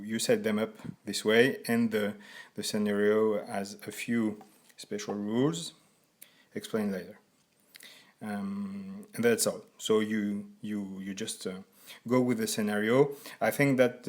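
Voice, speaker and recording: A man in his forties with a French accent, haltingly explaining a board game in non-native English as a reviewer. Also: lowish voice. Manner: halting, deliberately slow speech for learners.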